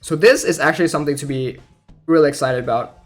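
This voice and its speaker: A young man giving casual, informal game news commentary as a YouTuber, thinking out loud in a hesitant way. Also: a little high, fast. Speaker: gaming YouTuber. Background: music.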